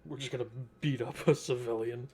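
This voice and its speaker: A man's voice: medium pitch, fairly even intonation, brisk, dark tone. A young man giving casual, joking gameplay commentary as he streams a video game. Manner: joking, offhand. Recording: noticeable background noise, game sound.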